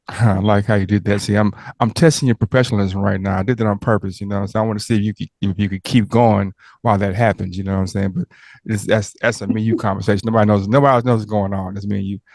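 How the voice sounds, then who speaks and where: Rambling, joking man host with a low voice. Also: steady tone, fast talker, creaky, darkish tone, no room sound. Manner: rambling, joking, prone to tangents and stammers. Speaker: interviewer and host. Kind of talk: casual interview chat.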